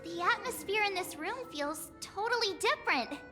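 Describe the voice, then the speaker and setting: A cute little girlish game companion with a very high voice. She is inquisitive and expressive. Music runs clearly behind her. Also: moderate pace. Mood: curious. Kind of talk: video game story acting.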